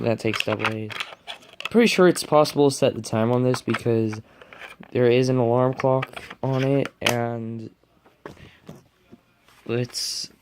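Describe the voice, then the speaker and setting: A man giving casual unboxing and setup commentary, muttering to himself as he works. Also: medium pitch. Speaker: hobbyist reviewer. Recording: noisy home recording with clicks and beeps.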